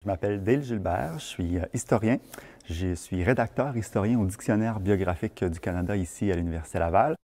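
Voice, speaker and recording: A man with a low voice introduces himself in a short interview clip, pausing briefly mid-sentence. The clip is played back and sounds distant from the mic. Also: darkish tone. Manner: brief self-introduction with a hesitation. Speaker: historian.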